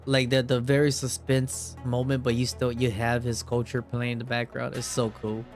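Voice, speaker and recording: A man's voice: mid-range voice, little pitch movement, normal speed. A man streaming a game, giving casual live reactions in a laid-back, slangy, sweary way. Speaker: gaming streamer. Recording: game music behind the voice.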